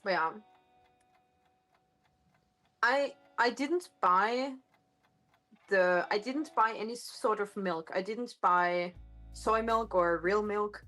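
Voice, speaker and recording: A woman streamer chatting casually in a home kitchen, her voice a little gruff today, rambling with lots of fillers and self-corrections, picked up by a phone microphone. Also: medium pitch, takes it slowly, a bit bright, faint music behind. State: slightly gruff voice. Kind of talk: casual live-stream chat. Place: home kitchen with hard countertops.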